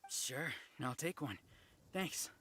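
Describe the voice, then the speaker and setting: A man voicing a tough, cocky wounded fighter in a video game, husky, blunt and teasing. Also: on the high side, expressive, slow, bright, forceful tone, slight background noise, full character voice. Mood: blunt, proud.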